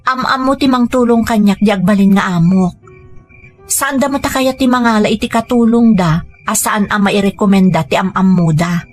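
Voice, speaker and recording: A woman's voice: medium pitch, moderate pace, faint music behind, close to an everyday voice. A woman with an Ilocano accent playing a humble, determined married daughter and mother in an audio drama. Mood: earnest, reassuring.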